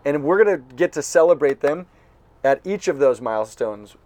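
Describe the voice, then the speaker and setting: A man's voice: on the high side, expressive, moderate pace, darkish tone, no room sound. A man hosting a podcast, chatting casually and enthusiastically, joking and cutting in on his guest. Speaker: podcast host. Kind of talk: casual interview chat.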